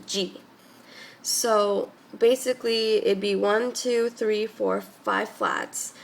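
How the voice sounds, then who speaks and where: A woman's voice: medium pitch, gravelly, slight background noise. A young woman, a music student, giving a casual tutorial while congested from a cold, talking in a chatty way with many fillers.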